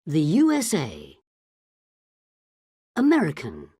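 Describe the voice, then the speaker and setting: Woman, low husky voice, very slow, sweeping pitch, dry close sound. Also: huge pitch swings, warm tone, no echo. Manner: careful, drawn-out pronunciation. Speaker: vocabulary reader for a language lesson. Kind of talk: reading word lists slowly.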